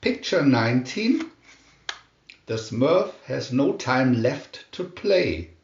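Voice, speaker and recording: A man narrating a scripted children's storybook in a deliberate, expressive storytelling manner. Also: mid-range voice, reverberant, lo-fi sound.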